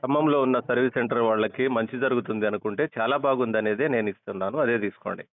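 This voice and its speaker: A man's voice: mid-range voice, racing, no echo. A man chatting casually and wryly as a customer on a muffled phone call recording. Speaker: customer answering a phone survey.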